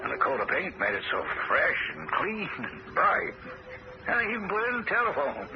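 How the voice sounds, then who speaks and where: A man's voice: fairly high pitch, huge pitch swings, moderate pace. An actor playing Tommy, a plain-spoken, skeptical handyman who narrates the story, on a dull, hissy old radio broadcast. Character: Tommy, practical handyman and broker. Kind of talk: 1970s radio drama acting with narration.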